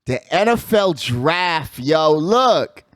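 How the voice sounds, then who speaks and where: Higher-pitched man hosting sports talk. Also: very animated, very slow, fairly bright tone. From United States. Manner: slangy, emphatic, repeats catchphrases. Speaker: sports talk show host. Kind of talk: casual hyped sports chat.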